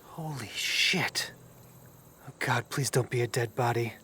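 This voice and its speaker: A man's voice: medium pitch, unhurried, sharp tone, slight background noise. An actor playing a frightened young man in an audio drama, urgent and prone to stammering and swearing. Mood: terrified. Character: frightened young man, shaken eyewitness, wary older friend. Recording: music bed under the voice.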